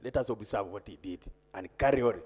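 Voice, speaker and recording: A man with a Ugandan accent speaking as a member of parliament, making a measured, exhorting formal speech. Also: lowish voice, a little mellow, breathy edge. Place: large parliamentary chamber. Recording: echoey hall with long reverb.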